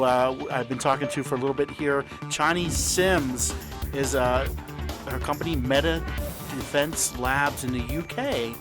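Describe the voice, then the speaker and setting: A man hosts an interview podcast in a slightly high voice, with clear music running behind him. He talks casually, filling gaps with "uh" and restarting his phrases. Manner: hesitant, many fillers and restarts. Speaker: podcast host. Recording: voice over background music.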